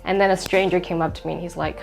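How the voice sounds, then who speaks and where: Woman, lively storyteller, somewhat low voice, faint music underneath. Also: racing, vocal fry, rough recording. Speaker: interviewee. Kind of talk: documentary interview, personal testimony.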